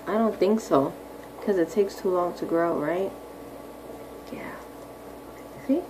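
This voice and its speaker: A woman's voice: lowish voice, takes it slowly, darkish tone, rough recording. A woman primary school teacher reading aloud to young children, explaining simply and often asking questions. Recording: clearly audible background noise.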